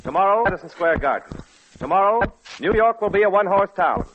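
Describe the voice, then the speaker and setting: High, fast-talking 1940s male radio announcer. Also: lively intonation, a bit bright, slight background noise. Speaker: radio announcer and comic sidekick. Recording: muffled 1940s radio recording.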